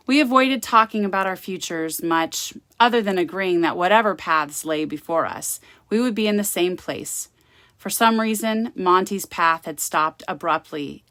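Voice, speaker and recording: Female author-narrator for gothic romance, voice a little low, very dry sound. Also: fairly bright tone, no echo. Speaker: author reading aloud.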